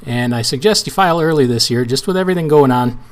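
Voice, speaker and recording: Hoarse man explaining a tax form. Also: mid-range voice, quick pace. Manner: casual, rambling with restarts and fillers. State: hoarse, weak voice. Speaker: trucking channel host. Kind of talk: screen-share tutorial walkthrough.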